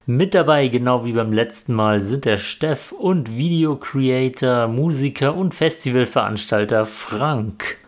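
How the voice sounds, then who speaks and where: A man hosting a podcast, reading a smooth scripted intro and then chatting plainly. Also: medium pitch, expressive, unhurried, hardly any pauses. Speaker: podcast host. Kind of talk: scripted intro and casual chat.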